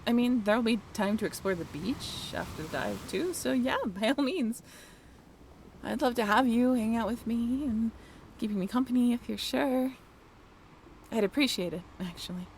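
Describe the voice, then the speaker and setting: A woman's voice: a little high, moderate pace, a little mellow, a little hiss or hum, close to an everyday voice. A woman playing a friendly, upbeat young dive crew member in a modern roleplay audio drama, with a chatty, casual manner. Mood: friendly, flustered.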